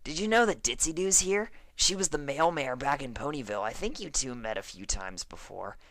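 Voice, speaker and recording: A woman voicing a brash, boastful young mare flyer in a cartoon fan fiction audio reading, with a cocky, excitable manner. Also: a bass voice, brisk, no echo, exaggerated voice. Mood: casual.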